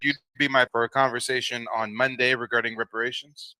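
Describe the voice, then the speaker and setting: A man hosting a live stream panel, brisk and upbeat as he moves the guests along. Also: mid-range voice, flat delivery, bright, forceful tone, no room sound, rough recording. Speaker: stream host.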